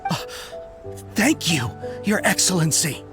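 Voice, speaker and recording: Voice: medium pitch, sing-song, measured pace, music in the background. An actor playing a proud, loyal soldier in a video game, earnest and deferential toward a superior. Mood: earnest, obedient. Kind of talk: English video game voice acting.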